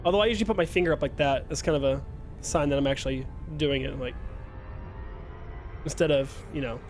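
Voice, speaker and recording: A man's voice: medium pitch, brisk, faint music behind. A young man streaming a game live, chatting with his viewers in a joking, self-mocking way. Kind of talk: casual live-stream chat while playing.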